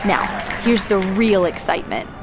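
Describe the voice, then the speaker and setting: A woman playing a historian tour guide, narrating scripted history in a measured, dramatic storytelling style. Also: medium pitch, over loud music, a loud crowd, lightly acted, poor audio. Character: female historian tour guide telling eerie city history.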